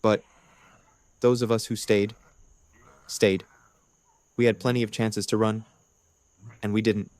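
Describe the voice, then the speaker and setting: A man's voice: on the low side, flat delivery, takes it slowly, long pauses, darkish tone, no echo. A man playing a nervous male ex-prisoner in a fan audio drama, earnest and a little stumbling as he pleads.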